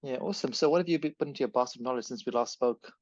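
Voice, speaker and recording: A man's voice: mid-range voice, very fast, no echo. A man hosting a podcast, talking in a rambling, self-correcting way. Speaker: podcast host. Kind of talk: casual podcast chat. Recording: dry, dull lo-fi sound.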